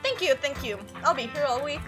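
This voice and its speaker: A woman's voice: high voice, animated, fairly quick, music in the background. A woman voicing a chatty, teasing young small-town woman in a visual novel, with a playful, folksy, rambling manner. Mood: joking. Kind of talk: visual novel character read aloud.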